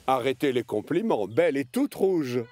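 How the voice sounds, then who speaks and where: A man narrating a children's cartoon in French, with an expressive storytelling manner. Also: mid-range voice, moderate pace.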